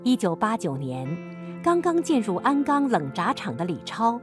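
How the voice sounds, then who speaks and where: A woman reading scripted narration and credits for a radio drama in a formal, expressive way. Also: on the high side, normal speed. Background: music.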